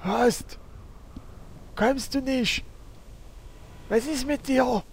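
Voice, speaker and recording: Man as a high-voiced nervous hero. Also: extremely slow, a little hiss or hum, full character voice. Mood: nervous, relieved. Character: nervous, flustered game hero eager to escape. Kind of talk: audio drama parody.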